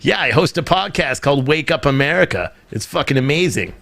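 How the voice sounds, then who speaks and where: A man's voice: fairly high pitch, lively intonation, fairly quick, vocal fry, fairly bright tone. A middle-aged man chatting casually and teasing as he streams an online game, often laughing. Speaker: podcast host streaming an online shooter game. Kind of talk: casual chat while gaming live.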